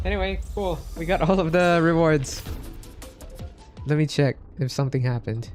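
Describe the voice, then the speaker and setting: A man from the Philippines livestreaming a game with casual, halting commentary, half muttering to himself. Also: on the high side, a little mellow. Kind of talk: casual live gaming commentary. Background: game music.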